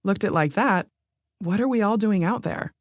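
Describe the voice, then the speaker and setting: A woman author reading her own nonfiction audiobook in a measured, explanatory way, speaking to the listener. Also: medium pitch, dry recording, studio quality. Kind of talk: scripted nonfiction narration.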